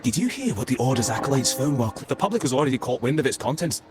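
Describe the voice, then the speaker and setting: An actor playing an excitable, gossiping townsman in scripted fantasy game dialogue. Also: medium pitch, racing, close to an everyday voice. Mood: gossipy, eager.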